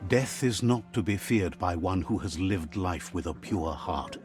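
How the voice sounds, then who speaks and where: A man's voice: deep voice, expressive, unhurried, a little mellow. A man playing a wry, philosophical storyteller, narrating a game in a theatrical, storybook style. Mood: solemn. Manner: theatrical, aphoristic. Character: wry, philosophical male storyteller translating for creatures. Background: music.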